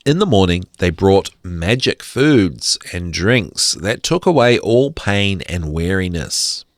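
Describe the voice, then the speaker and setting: Male fairy-tale narrator, lowish voice, dry close sound, expressive and deliberate. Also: no room sound. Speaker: storyteller.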